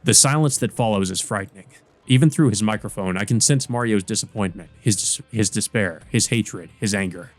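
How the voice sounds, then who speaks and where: A man's voice: lowish voice, a little fast, no echo, close to an everyday voice. A man playing a weary, wounded teenage boy who narrates his own visual novel story in the first person. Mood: uneasy.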